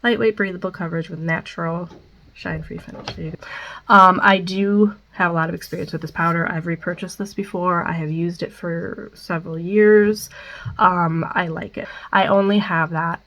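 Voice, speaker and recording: A woman's voice: on the low side, fairly even intonation, normal speed, a bit bright, low-quality recording. A young woman with a Midwestern American accent and a stuffed-up nose, chatting casually through a makeup wear-test vlog with many ums. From Midwestern United States. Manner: chatty, many ums, reads product details. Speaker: beauty vlogger.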